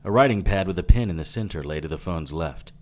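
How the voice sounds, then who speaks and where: A man's voice: sits low, expressive, quick pace, darkish tone, a little hiss or hum. A man playing a wry, wary 1940s private detective narrating a hard-boiled radio drama.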